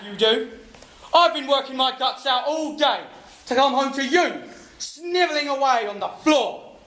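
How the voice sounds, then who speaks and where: An actor playing a bullying, contemptuous husband who taunts his wife and breaks into shouting. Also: extremely high pitch, exaggerated voice. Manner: sneering, taunting, explodes into shouting. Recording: roomy echo.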